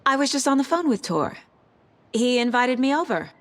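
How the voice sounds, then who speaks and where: A woman's voice: sits high, sing-song, normal speed. An actress playing a determined, intense FBI agent, protective of her family, in a horror video game. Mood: casual, probing.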